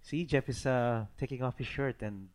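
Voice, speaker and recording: A man's voice: mid-range voice, moderate pace. A man co-hosting a casual podcast, laughing often and rambling through restarts. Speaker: podcast co-host. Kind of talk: casual chat.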